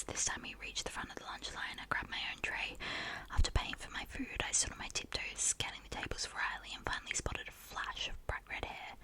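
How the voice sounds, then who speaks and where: A woman reading a novel aloud in a steady, soft whisper. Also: rough recording.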